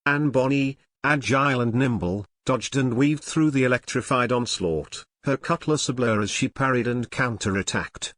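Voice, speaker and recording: A man's voice: mid-range voice, moderate pace, few pauses, a bit bright, no room sound, clean studio sound. A man narrating an audio drama in a scripted, dramatic storytelling style.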